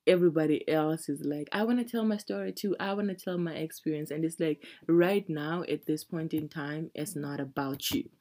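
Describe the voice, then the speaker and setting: Low, mellow-voiced woman from Zimbabwe. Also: moderate pace, hardly any pauses. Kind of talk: casual conversation.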